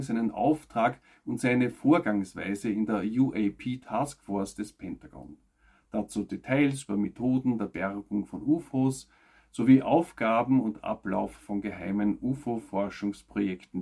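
Gravelly, low-voiced man presenting news. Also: a little mellow. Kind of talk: scripted news narration.

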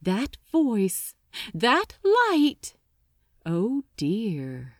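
A woman reading fiction aloud as an audiobook narrator, in an expressive, scripted storytelling style. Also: a little high, very slow, no echo, clean studio sound.

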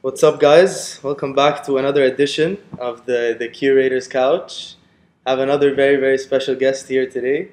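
A man's voice: mid-range voice, steady tone, moderate pace, darkish tone. A man hosting a casual podcast interview, easygoing and agreeable, calling his guest bro. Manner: easygoing, says "bro", lots of yeah. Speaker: podcast host.

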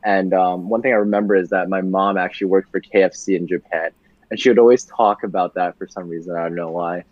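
A young man, a podcast guest, talking casually about his childhood, relaxed and full of fillers, laughing easily. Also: low-pitched, fast talker, no room sound, highs cut off. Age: young adult. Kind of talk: casual conversational storytelling.

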